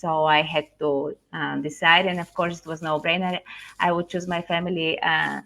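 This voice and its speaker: Middle-aged Bulgarian-accented woman, halting delivery. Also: low-pitched, level tone, creaky, sharp tone. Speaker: interview guest, online business owner. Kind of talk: casual interview talk. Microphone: online video call.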